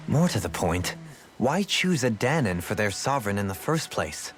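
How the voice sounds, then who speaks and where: A man's voice: on the low side, sing-song, moderate pace, slight background noise. An actor playing a refined, thoughtful nobleman turned rebel in a video game dub, eloquent, formal and pensive. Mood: pensive, puzzled. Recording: game music playing behind. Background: background music.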